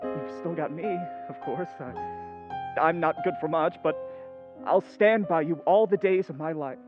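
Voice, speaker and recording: Man acting as a high-voiced, lovesick young suitor. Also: normal speed, narrow, tinny audio, over music, natural voice. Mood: gentle, devoted. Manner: pleading, emotionally swelling delivery. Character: lovesick, ardent, impetuous young gentleman suitor. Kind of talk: old-time radio drama acting.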